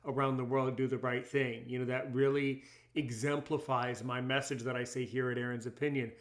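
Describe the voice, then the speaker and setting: A man's voice: medium pitch, level tone, fairly quick. Emphatic man hosting an interview. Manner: emphatic, repeats words and phrases. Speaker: podcast host; English as a second language teacher. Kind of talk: conversational interview.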